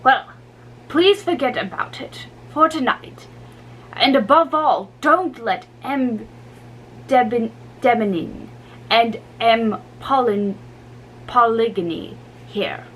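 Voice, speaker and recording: Woman putting on a rough, flustered theatre manager. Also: on the high side, slow pace, fairly bright tone, a little hiss or hum, rough recording. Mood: gruff, urgent. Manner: rough, exclaiming, bossy.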